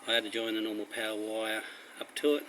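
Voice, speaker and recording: A man's voice: lowish voice, monotone, fast. An Australian man giving a casual, rambling, plain-spoken walkthrough of a hands-on job, recorded on location around a car. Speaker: vlogger showing a DIY car radio install. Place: in and around a car under a carport. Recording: noisy handheld recording on location.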